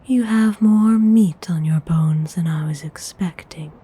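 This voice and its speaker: A woman playing a gentle, seductive vampire noble in a whispered ASMR roleplay, breathy, soothing and intimate. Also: fairly low pitch. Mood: composed, appraising. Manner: slow, soothing, intimate, unhurried.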